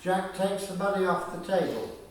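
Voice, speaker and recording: A man's voice: sits high, animated, normal speed. A man reading scripted narration for a radio play. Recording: very echoey room, far from mic.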